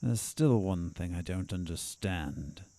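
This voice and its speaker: A man playing a haughty, vengeful doctor in an audio drama, with a disdainful, theatrical, deliberate delivery. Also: deep voice, animated, mellow, dry recording, full character voice. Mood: probing. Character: haughty, vengeful male doctor, a sinister villain.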